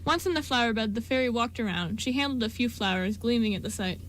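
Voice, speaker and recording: A woman's voice: on the high side, lively intonation, quick pace, slight background noise, low-quality recording. A woman reading scripted story narration for an amateur radio drama.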